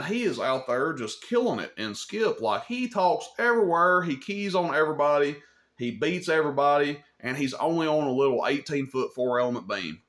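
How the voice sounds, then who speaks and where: A man's voice: mid-range voice, a bit bright. A man giving an unscripted, folksy explainer as a video host, stressing and repeating his points and checking in with the listener. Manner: plainspoken, folksy wording. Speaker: CB radio shop video host. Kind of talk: unscripted explainer talk to camera.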